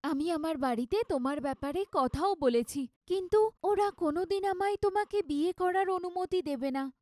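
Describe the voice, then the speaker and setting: Casting: woman as insistent young rich college woman in love, high pleading voice, cartoon drama, dry. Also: moderate pace, darkish tone, no echo. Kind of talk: dramatized cartoon romance story.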